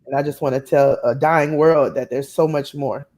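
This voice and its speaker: A woman's voice: rumbling low, steady tone, moderate pace, a little mellow. Earnest woman giving a faith testimony. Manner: heartfelt, earnest. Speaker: guest storyteller.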